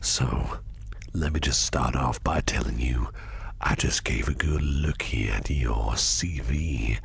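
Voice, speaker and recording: A man voicing a shady, flirtatious crime boss in a whispery growl, drawling suavely and menacingly in an ASMR roleplay. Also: heavily acted. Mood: suave, welcoming. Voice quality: very deep gravelly whispery growl. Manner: slow, drawling, suave and intimidating, with lingering pauses. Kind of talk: ASMR roleplay, close personal attention.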